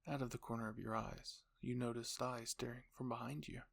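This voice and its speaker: Casting: male storyteller, mid-pitched, gravelly edge, scripted second-person narration for audio roleplay. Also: fairly even intonation, brisk.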